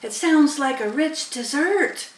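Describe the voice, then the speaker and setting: A woman's voice: sits high, expressive, slow, reverberant, distant mic, voice pushed up. A woman voicing a clever, businesslike female spider with a frank, commanding manner in a storybook.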